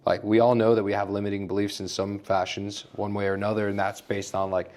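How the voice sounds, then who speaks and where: A man's voice: on the low side, little pitch movement, fast, a little mellow. A man who is a guest on a podcast, explaining his ideas earnestly through everyday examples. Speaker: podcast guest, agency founder. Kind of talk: casual conversation.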